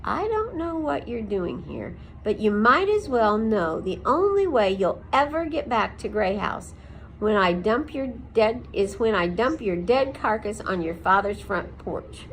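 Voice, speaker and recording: A woman's voice: medium pitch, very animated, unhurried, a little hiss or hum, rough recording. A woman reading aloud and voicing a menacing old male owl who shrieks, demands and threatens. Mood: threatening.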